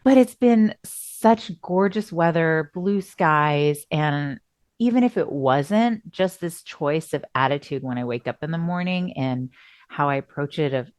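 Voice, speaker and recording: A middle-aged woman with a low voice co-hosts a casual podcast, her voice still slightly worn from a recent cough. She tells stories expressively, acting out what people said. The sound is dry and close, with no room around it. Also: a little slow, no echo. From Southern California. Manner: expressive storyteller, acts out quotes. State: recovering voice, coughing. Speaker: podcast co-host. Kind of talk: casual chat.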